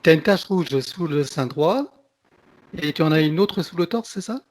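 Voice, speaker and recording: A man working as an energy healer, talking casually and somewhat haltingly as he takes down a client's details. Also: on the high side, expressive. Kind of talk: casual client intake conversation. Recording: faint background noise.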